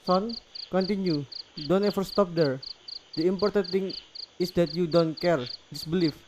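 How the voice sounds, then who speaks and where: A man with an Indonesian accent playing a concerned older local man who gives solemn warnings in halting English for a student radio drama. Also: high voice, noisy background, lightly acted. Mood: earnest warning. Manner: halting, non-native English phrasing.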